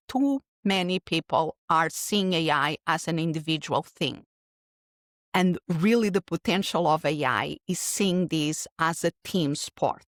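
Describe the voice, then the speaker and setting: A middle-aged woman with a Portuguese accent talks through her ideas in long, earnest runs and sometimes restarts a phrase. Her voice sits a little low. The sound is dry and close, with no room echo. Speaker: keynote speaker guest. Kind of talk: podcast interview answer.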